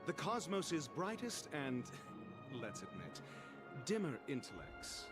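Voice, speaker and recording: Haughty, lilting scholar over music. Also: male voice, fairly high pitch, very animated, takes it slowly. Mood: pleased, smug. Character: eloquent, self-assured, condescending male scholar. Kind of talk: scripted game dialogue. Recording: clear music behind voice.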